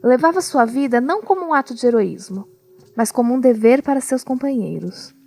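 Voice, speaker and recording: A woman narrating a scripted audio drama as a storyteller, with measured, deliberate phrasing. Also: high voice, expressive, gravelly, dark tone. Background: music.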